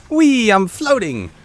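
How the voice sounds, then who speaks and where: A man giving casual gameplay commentary while playing a shooter, with a congested throat he keeps clearing, chatting to viewers and reacting aloud. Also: sits high. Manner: chatty, reacts aloud to the game, answers chat. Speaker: gaming YouTuber.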